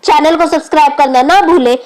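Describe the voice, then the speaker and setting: A woman's voice: high-pitched, fairly quick, a little mellow. A woman reads a scripted, emotional moral story as its narrator.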